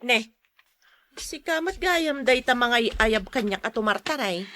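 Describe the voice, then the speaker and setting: A woman's voice: fairly high pitch, animated, measured pace, bright, forceful tone. A woman acting a matter-of-fact friend repaying a debt in an Ilocano audio drama. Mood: hurried, polite.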